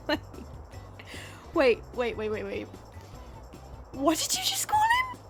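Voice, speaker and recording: A woman's voice: high-pitched, wildly swooping pitch, slow, fairly bright tone, soft background music, heavily acted. A woman playing a playful, sardonic monster girl in an audio roleplay, drawling and teasing. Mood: gleefully mocking. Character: playful, teasing female monster, powerful and lonely.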